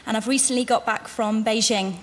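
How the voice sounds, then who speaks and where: A woman's voice: on the high side, steady tone, fairly quick. A woman from Birmingham, England, chattily introducing herself as a singing contestant. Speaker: contestant singer. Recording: reverberant TV studio.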